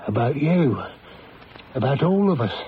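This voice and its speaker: An actor playing a superstitious village tavern keeper with a rustic, foreboding manner in a radio drama. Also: male voice, mid-range voice, very animated, a little slow. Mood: ominous. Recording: dull lo-fi old radio, faint noise.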